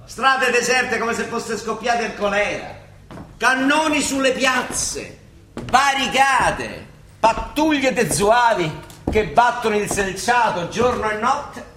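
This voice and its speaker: An actor playing a weary, ironic papal judge in a radio play, with a Roman accent, declaiming and dropping into wry colloquial asides. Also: male voice, very high-pitched, expressive, slow, bright, forceful tone, echoey room, slight background noise, full character voice. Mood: solemn, declamatory. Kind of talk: theatrical radio drama dialogue.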